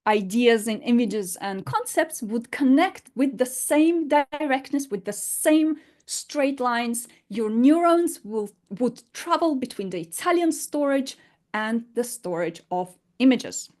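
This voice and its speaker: A woman's voice: a little high, animated, slow, a little mellow. A woman teaching an unscripted online lesson in English, her fourth language, explaining emphatically with rhetorical questions. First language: not English.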